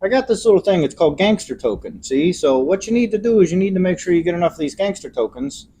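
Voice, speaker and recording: A man's voice: sits high, lively intonation, fast, dark tone, a little hiss or hum, voice pushed up. A man acting out a small-time gangster shaking down a shopkeeper, menacing in a joking way. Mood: threatening.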